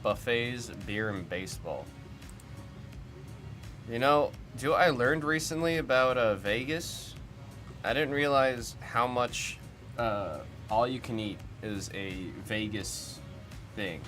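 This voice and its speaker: A man's voice: medium pitch, slow, a bit bright. A young man hosting a livestream, chatting loosely and puzzling aloud over viewer comments. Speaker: livestream host of card breaks. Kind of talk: casual chat reacting to viewer comments. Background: music.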